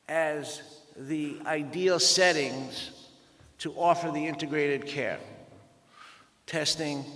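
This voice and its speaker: A man with a Dutch accent giving a conference presentation, measured and pausing often with fillers. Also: fairly high pitch, a bit bright. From Netherlands. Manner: measured, with frequent pauses and fillers. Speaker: conference speaker. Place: large conference hall. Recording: reverberant conference hall.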